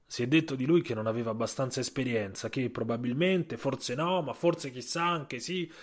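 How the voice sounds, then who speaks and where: A man narrating a scripted audio story with a dramatic, literary delivery and a husky voice. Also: mid-range voice, moderate pace. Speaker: writer and narrator.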